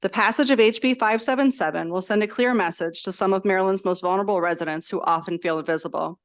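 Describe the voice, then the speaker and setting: A woman's voice: mid-range voice, fast talker. A woman legislator giving earnest, formal prepared testimony at a committee hearing, speaking into a desk microphone. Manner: earnest, reads steadily from prepared remarks.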